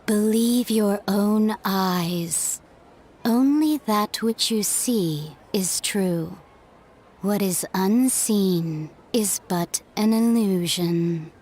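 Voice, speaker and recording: Enigmatic game figure: unseen speaker of fate, fatalistic, calm, solemn, very unhurried. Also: female voice, medium pitch. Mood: calm, cryptic. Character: mysterious unseen female voice, calm, cryptic, fatalistic. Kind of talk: video game voice acting.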